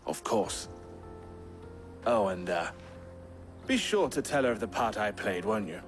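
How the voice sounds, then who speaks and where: A man's voice: on the low side, sing-song, a little slow. An actor playing an affable, dutiful and slightly roguish male trader in a fantasy game, with an easygoing, lightly wry way of talking. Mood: eager, coy. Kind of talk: scripted fantasy game voice acting. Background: background music.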